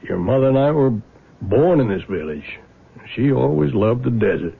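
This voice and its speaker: An actor playing a plain-spoken older small-town father in a radio drama, blunt and folksy, with a gravelly, husky voice. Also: medium pitch, lively intonation, moderate pace. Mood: contented. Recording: muffled old radio drama recording.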